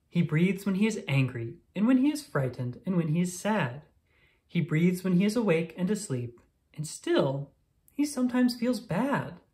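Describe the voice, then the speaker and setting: A woman reading a children's picture book aloud in an expressive, deliberate storytelling manner for young listeners. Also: fairly low pitch. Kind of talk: scripted read-aloud narration of a picture book.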